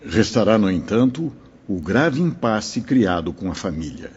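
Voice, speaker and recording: A wise old spirit teacher, voiced by a man, with a very breathy, husky voice and a warm, darkish tone. He teaches calmly and paternally, his low voice rising and falling with lively intonation over a slightly dull, lo-fi sound. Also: unhurried, full character voice. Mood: didactic. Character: wise elderly male spirit mentor. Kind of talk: audio drama acting.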